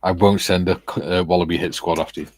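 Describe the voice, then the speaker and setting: A man's voice: deep voice, fairly even intonation, fast, darkish tone. A man hosting a casual live model-building stream, joking and reading viewer comments aloud. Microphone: phone.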